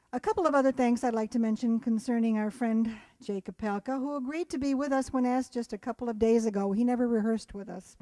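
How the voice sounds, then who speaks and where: A woman with a fairly high voice addresses an audience with closing remarks for a radio drama group. Her phrasing is formal and wordy, and the sound is very dry. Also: warm tone, no echo. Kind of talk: live closing remarks and thanks.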